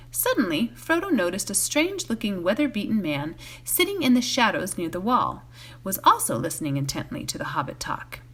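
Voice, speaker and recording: A woman reading a storybook aloud as a volunteer, expressive, occasionally stumbling and correcting herself. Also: mid-range voice. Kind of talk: read-aloud storybook narration.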